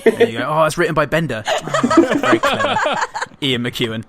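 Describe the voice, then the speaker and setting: A man's voice: fairly high pitch, huge pitch swings, moderate pace, very noisy. A man chatting casually as a podcast guest, with a jokey, droll manner. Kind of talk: casual chat. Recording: faulty audio with crowd-like chatter.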